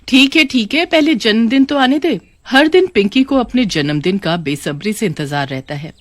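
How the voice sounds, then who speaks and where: A woman narrating a scripted cartoon horror story in an even, storybook manner. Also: on the low side, dry recording.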